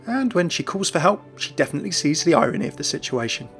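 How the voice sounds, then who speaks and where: A man's voice: a little high, big pitch swings, fast, vocal fry, a bit bright, soft background music. A man hosting a video, narrating game lore in a chatty, enthusiastic scripted style. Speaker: gaming lore video host.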